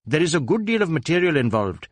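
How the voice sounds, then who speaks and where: An earnest Indian police inspector in a detective story, voiced by a male reader. He is polite and careful, with a deferential briskness that aims at calm efficiency. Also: on the high side, dry recording, pitched above the performer's own voice. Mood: brisk.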